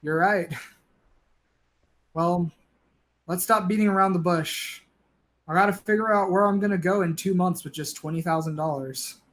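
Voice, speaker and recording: A man's voice: high voice, moderate pace, long pauses, a bit bright. A man playing a down-on-his-luck, bickering but conciliatory neighbor in a stage play, talking in a wry, colloquial way. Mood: amused, friendly.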